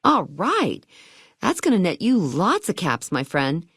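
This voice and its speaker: A woman's voice: on the low side, huge pitch swings, a little slow, fairly bright tone, husky, no echo, studio quality, exaggerated voice. A woman playing a perky, eager and quirky hotel keeper in a video game, chatty and full of chirpy salesmanship and playful old-fashioned slang. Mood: chirpy, welcoming. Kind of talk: video game dialogue lines.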